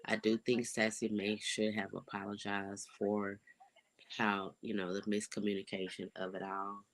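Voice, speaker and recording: Stuffy, congested young adult vlogger with a gravelly voice; laid-back, rambling, lots of fillers. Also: low voice, little pitch movement, normal speed. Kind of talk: casual vlog update.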